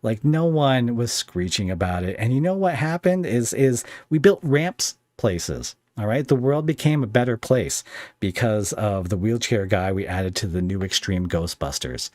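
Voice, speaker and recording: A man with a very deep voice hosts a casual podcast chat. The sound is close and dry. He talks in a wry, hesitant, rambling way. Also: expressive, normal speed, a little mellow, no echo. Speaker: podcast host interviewing a guest. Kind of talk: casual pop culture chat.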